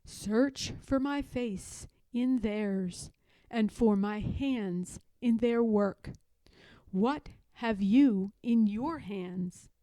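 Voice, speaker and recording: A divine storybook spirit, voiced by a woman, speaks very slowly and solemnly. The delivery is calm, protective and reassuring, with a soft, mellow tone. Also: fairly high pitch, dry recording, poor audio. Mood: solemn guidance.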